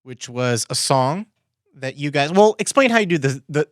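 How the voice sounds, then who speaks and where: A man hosting a casual podcast interview, informal and somewhat halting in how he talks. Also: a little high, expressive, no room sound, studio quality. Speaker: podcast host.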